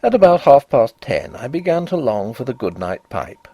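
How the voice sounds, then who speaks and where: A man narrating a gothic literary tale in an expressive, measured and dramatic audiobook style. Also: mid-range voice, breathy edge, no room sound, lo-fi sound.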